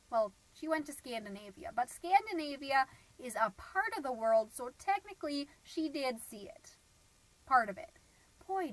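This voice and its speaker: A woman's voice: sits high, a bit bright, rough recording. A woman playing a chirpy, gossipy suburban stay-at-home mom who hosts a homemade comedy podcast in a folksy, rambling, self-satisfied way. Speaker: podcast host.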